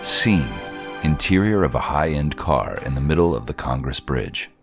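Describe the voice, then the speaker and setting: A man's voice: very deep voice, lively intonation, normal speed, gravelly. A man reading a scripted introduction to an audio play as its announcer. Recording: music under the voice.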